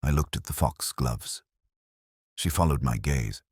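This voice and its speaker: Very deep, husky male narrator. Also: a little mellow, no echo, clean studio sound. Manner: measured, literary, solemn. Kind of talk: first-person scripted detective story narration.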